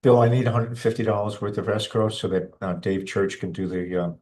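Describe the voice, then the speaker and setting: Male meeting chair, lower-pitched voice, plain-spoken and informal. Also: fairly even intonation, brisk, darkish tone, slight background noise. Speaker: planning board chair. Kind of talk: running a town board meeting.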